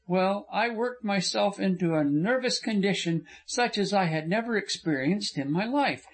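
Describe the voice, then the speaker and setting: A man's voice: sits high, voice pushed up. A man voicing a spirited, frank young woman who speaks earnestly and with passion. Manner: earnest, impassioned.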